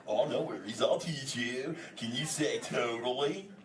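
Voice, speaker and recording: A man playing a laid-back but excitable male sea turtle who drawls surfer slang while bantering with a live audience as host. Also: mid-range voice, measured pace, recorded from a distance, lightly acted. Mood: friendly, teasing. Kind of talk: improvised comic audience banter. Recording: echoey, noisy hall, voice from afar.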